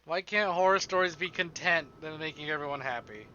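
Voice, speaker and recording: A man giving casual, profane livestream commentary on a game, expressive and often talking to himself. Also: a little high, fairly quick, lo-fi sound, a little hiss or hum. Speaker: streamer playing a visual novel. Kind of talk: casual livestream commentary with dramatic read-aloud of game text. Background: game music.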